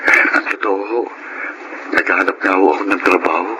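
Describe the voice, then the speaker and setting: A man's voice: sits low, fairly even intonation, fairly quick, bright, forceful tone, slight background noise, exaggerated voice, rough recording. A man acting a polite, humble tricycle driver and caring elder brother in a Filipino radio drama. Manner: respectful, earnest.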